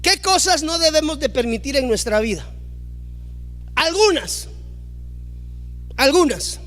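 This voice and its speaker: Pastor guy preaching hard, really high voice, builds up loud. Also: lively intonation, measured pace, faint music behind. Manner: emphatic, rising to loud exclamations, acting out quoted dialogue. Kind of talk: preaching a sermon.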